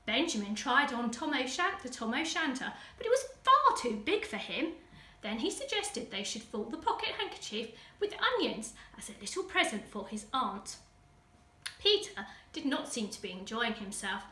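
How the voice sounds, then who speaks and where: A woman's voice: fairly high pitch, very animated, normal speed, pushed and bright. A woman with an English accent reading a children's story expressively, with dramatic emphasis for young listeners. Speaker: school teaching assistant reading a story. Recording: roomy echo, distant microphone.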